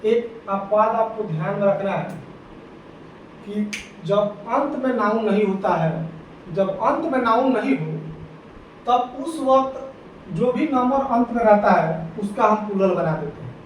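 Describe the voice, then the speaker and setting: A man with a very high voice teaches grammar, drilling each rule and asking students questions again and again. He sounds far from the mic in a very echoey room, with a faint hiss behind him. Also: animated, a little slow. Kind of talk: exam-prep grammar lesson.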